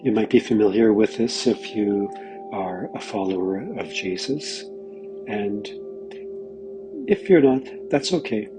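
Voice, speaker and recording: Slow, low-voiced man leading meditation. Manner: gentle, unhurried, pausing mid-phrase. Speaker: guided meditation leader. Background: background music.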